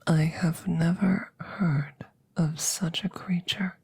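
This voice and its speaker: A woman voicing a formal, curious alien examiner in an ASMR roleplay, soft and breathy, often whispering, with precise clinical phrasing. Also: fairly low pitch, steady tone, slow pace, heavily acted. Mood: clinical, hushed. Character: formal, curious female alien examiner interviewing a rescued creature.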